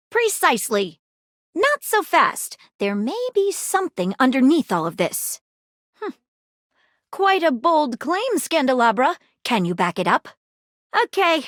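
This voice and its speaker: A haughty video game detective with a high voice that swoops dramatically. She speaks formally and precisely, with theatrical pomp, in a close, dry recording. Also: a bit bright, dry recording, exaggerated voice. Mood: suspicious. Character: haughty, sharp-witted detective confronting frauds. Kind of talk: video game character dialogue.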